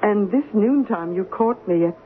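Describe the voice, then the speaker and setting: A woman's voice: medium pitch, animated, moderate pace, close to an everyday voice. An actress in a 1970s radio drama playing a wry, plainspoken middle-aged unmarried woman with a dry, bantering manner. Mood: amused, wistful. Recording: narrow-band old radio broadcast recording.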